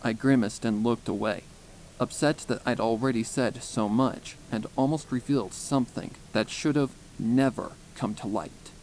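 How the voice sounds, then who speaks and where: A man's voice: medium pitch, normal speed, a little mellow, a little hiss or hum, poor audio. A man reading scripted first-person fan fiction as a story narrator.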